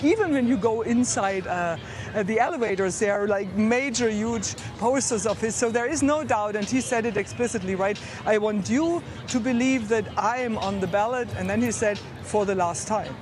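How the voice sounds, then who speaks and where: A woman's voice: medium pitch, moderate pace, music in the background. A woman with a German accent giving a live field report from outdoors, talking conversationally with frequent hesitations. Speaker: correspondent. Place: outdoors by a street outside a convention center.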